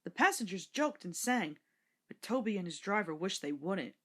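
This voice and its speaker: A woman's voice: medium pitch, very animated, normal speed, a bit bright. A woman reading a scripted children's story aloud as narrator.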